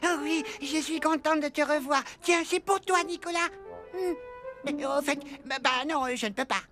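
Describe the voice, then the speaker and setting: Bright, high-pitched little Christmas elf. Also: female voice, normal speed, soft background music. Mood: earnest, firm. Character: small, earnest, fussy old-fashioned male Christmas elf. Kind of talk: French children's cartoon dubbing.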